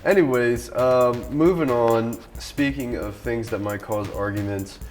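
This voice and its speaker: A man's voice: medium pitch, a little slow, darkish tone, soft background music. A man hosting a casual pop culture chat show with co-hosts. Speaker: podcast host.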